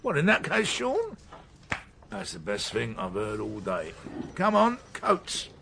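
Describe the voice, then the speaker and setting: A teasing drinking companion in a radio drama. His voice is creaky and swings widely in pitch. There is faint background noise. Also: huge pitch swings, slow pace, full character voice. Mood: teasing, pleased. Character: teasing male pal, keen on going drinking.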